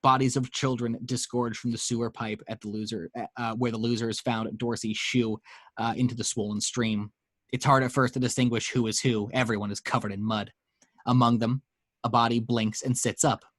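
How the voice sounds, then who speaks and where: A man's voice: mid-range voice, flat delivery, moderate pace, a bit bright, no room sound, studio quality. A man reading action descriptions as narrator in a dramatic screenplay table read. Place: at home.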